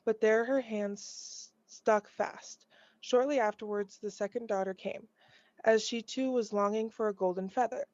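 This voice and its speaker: A woman reading a children's fairy tale aloud, a little haltingly. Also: fairly high pitch, steady tone, darkish tone, no echo. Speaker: storybook reader. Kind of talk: scripted children's story read aloud. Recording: dull, lo-fi dry recording.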